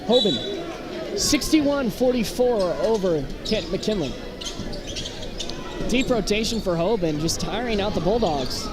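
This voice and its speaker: Male play-by-play caller, high voice, clipped basketball calls over heavy gym noise. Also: lively intonation, measured pace, long pauses. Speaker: play-by-play sports commentator. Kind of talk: live high school basketball radio commentary. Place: indoor high school gymnasium. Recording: loud gym crowd and court noise. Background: crowd, bouncing basketballs.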